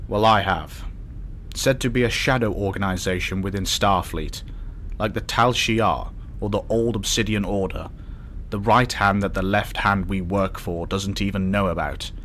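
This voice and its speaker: A thoughtful starship doctor, acted by a man with a deep voice and a calm, measured delivery. The lines are reflective sci-fi drama dialogue over a faint rumble of noise. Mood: cautious. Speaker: actor in a science-fiction fan drama.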